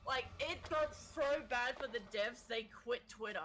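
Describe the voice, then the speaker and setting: A woman chatting casually on a stream, rambling and halting, dropping in Japanese phrases. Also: on the high side, a bit bright, slight background noise. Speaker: co-streamer guest. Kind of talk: casual chat.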